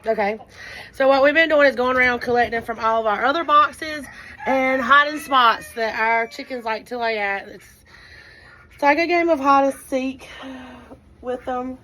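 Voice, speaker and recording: A woman talks in a casual, rambling way, full of small fillers, and her voice is hoarse and fading. Her voice sits a little high. She is recorded outdoors by a chicken coop, with hens clucking and a rooster crowing clearly behind her. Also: normal speed, bright, forceful tone. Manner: rambling, chatty, many "uh" fillers. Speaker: backyard chicken keeper. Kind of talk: casual vlog chat. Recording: noisy outdoor farmyard recording.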